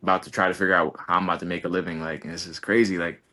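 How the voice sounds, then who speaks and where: A man's voice: deep voice, flat delivery, racing, pushed and bright. A man in his twenties, a college basketball player, talking in a rambling, earnest way and saying "like" constantly. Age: 20s. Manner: rambling, heavy use of "like", earnest. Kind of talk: casual podcast conversation.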